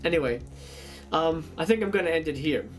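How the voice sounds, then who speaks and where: Higher-voiced man hosting, halting delivery. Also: lively intonation, faint music behind. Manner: hesitant, halting, with stammered repeats. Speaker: video host. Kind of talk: casual monologue to viewers.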